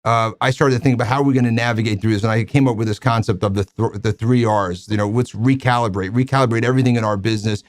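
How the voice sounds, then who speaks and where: A man hosting an interview, asking questions in a halting way with repeated words and many fillers. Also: lowish voice, fairly even intonation, no echo, clean studio sound. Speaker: interview host. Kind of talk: conversational interview.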